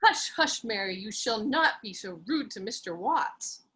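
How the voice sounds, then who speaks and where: Casting: woman playing a stern mother hushing her daughter, mid-pitched. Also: lively intonation, measured pace, breathy edge. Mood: scolding. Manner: sharp, scolding. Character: scolding middle-aged mother, Mrs Stanhope. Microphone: video call.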